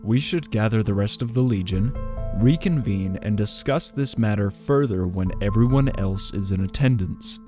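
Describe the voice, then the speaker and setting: A man playing a grave, authoritative commander in a fan audio drama, formal and measured. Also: on the low side, music in the background, natural voice.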